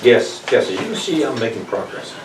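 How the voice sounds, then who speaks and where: A man's voice: medium pitch, sing-song, a little fast, close to an everyday voice. A man playing an evasive, placating captive scientist who explains himself, in an amateur table read. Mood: placating, stalling. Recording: echoey room, distant mic, noisy background.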